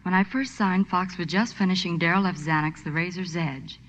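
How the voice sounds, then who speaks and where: A woman's voice: lowish voice, steady tone, moderate pace, a bit bright. A young woman as a starlet guest, speaking scripted advertising chat on 1940s radio. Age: young adult. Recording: dull lo-fi 1940s radio recording.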